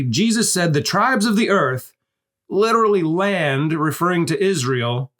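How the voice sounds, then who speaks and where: Higher-pitched man, animated scripted explainer. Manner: didactic, emphatic, poses questions and answers them. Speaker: narrator of a Bible prophecy course.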